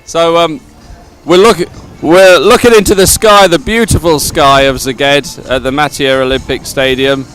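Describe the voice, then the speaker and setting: A man with a slightly high voice hosts live coverage of an outdoor sporting event. He is effusive, often doubles up his words, and speaks in an improvised presenting style in the open air. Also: fairly even intonation, a little slow, fairly bright tone, clean tone. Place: outdoors at a regatta stadium.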